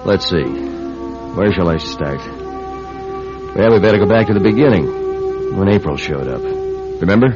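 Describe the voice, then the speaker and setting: An actor playing a wisecracking, skeptical bachelor writer in a radio drama, narrating and acting in a sardonic way. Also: male voice, sits low, lively intonation, a little slow, pauses often, over music. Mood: weary recounting. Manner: wry, sardonic. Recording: dull lo-fi radio drama recording.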